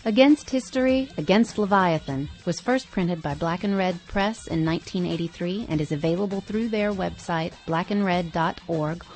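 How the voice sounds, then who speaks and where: A woman reads prepared narration as the host of a podcast. Her voice sits at an ordinary pitch, with music playing faintly behind it. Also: moderate pace, few pauses, a little mellow, rough recording. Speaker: podcast host reading a book review. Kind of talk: scripted narration.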